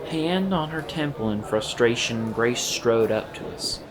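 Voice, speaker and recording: A man playing an observant police officer, telling his story in a halting, confiding first-person audio drama narration. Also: medium pitch, expressive, background noise, close to an everyday voice. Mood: wry, measured. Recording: music playing behind the voice. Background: music.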